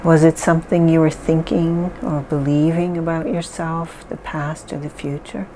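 A woman leading a guided mindfulness exercise, speaking calmly and softly with long pauses. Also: deep voice, slight background noise. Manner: slow, calm, soft-spoken guidance with pauses. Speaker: therapist guiding an exercise.

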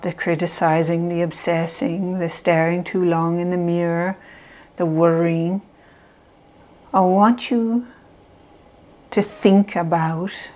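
Woman, calm meditation guide, low-ish voice, unhurried measured delivery. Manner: calm, measured, soft phrasing with long pauses. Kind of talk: slow guided visualization.

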